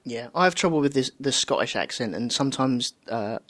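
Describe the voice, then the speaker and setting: Southern English man, casual guest, animated storyteller. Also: mid-range voice, a little fast. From England. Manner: chatty, acts out quoted voices. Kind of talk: casual podcast chat.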